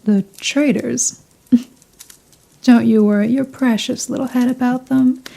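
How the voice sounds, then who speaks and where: A woman playing a possessive, seductive female vampire in an ASMR roleplay, speaking in a teasing, formal, softly commanding manner with breathy murmurs. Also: a little high, fairly even intonation, slow pace, heavily acted. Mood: amused, possessive. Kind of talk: ASMR roleplay, scripted intimate monologue.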